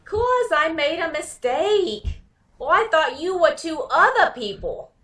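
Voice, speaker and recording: Woman acting a brassy gangster girl, high voice, slow and swoopy, slangy old radio crime show. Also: big pitch swings, a bit bright, full character voice. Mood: bargaining. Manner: slangy, defiant, slow drawn-out delivery. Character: tough, brassy young gun moll.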